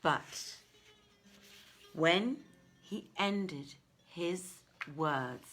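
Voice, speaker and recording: Slow, bright-voiced woman reading a tale dramatically. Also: on the low side, expressive, soft background music. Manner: theatrical, measured, deliberate delivery. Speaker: storyteller reading aloud. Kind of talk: dramatic literary story reading.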